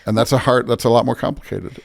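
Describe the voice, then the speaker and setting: A man's voice: medium pitch, fairly even intonation, fast, vocal fry. An American man speaking as a pastor guest, casual but earnest, repeating phrases for emphasis.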